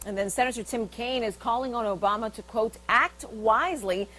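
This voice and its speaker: A woman's voice: on the high side, a little fast, pushed and bright. Female newsreader with faint hum. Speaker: news anchor. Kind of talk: scripted news introductions.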